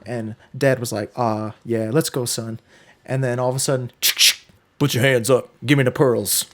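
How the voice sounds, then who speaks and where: A hoarse young man in his twenties hosting a casual film reaction, chatty and excitable, with many fillers. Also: medium pitch, moderate pace. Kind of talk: casual movie reaction commentary.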